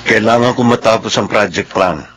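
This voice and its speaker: A man's voice: on the low side, normal speed, a bit bright, a little hiss or hum, close to an everyday voice. An actor playing a bitter, brooding, heartbroken man in a radio drama, terse and guarded. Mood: curt, angry.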